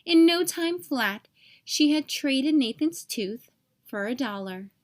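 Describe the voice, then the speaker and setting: A woman reading a children's picture book aloud as an expressive storyteller. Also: high-pitched, takes it slowly.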